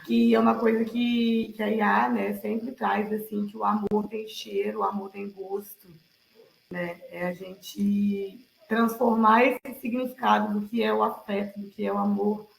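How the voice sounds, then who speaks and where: A woman talks in a casual, reflective way. Her voice has a creaky edge at a middling pitch, and the sound is roomy and echoey, as on a video call. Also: little pitch movement, unhurried. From Minas Gerais, Brazil. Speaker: participant in an online cultural gathering. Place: online video call, roomy indoor space.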